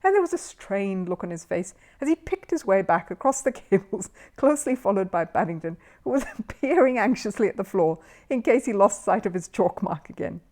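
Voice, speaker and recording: A woman narrates a children's story. Her voice is middle-pitched and very animated, with expressive rises and falls. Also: brisk, few pauses.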